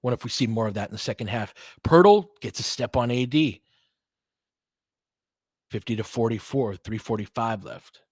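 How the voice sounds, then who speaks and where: A man's voice: medium pitch, normal speed, long pauses, slightly rough, dry recording, clean studio sound. A man giving conversational, partisan basketball play-by-play commentary, reacting openly to the action as it unfolds.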